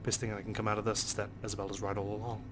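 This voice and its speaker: A man's voice: fairly low pitch, completely flat delivery, very fast, background noise. A man reading a visual novel aloud in the first person with a dry, sardonic delivery. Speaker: let's play narrator.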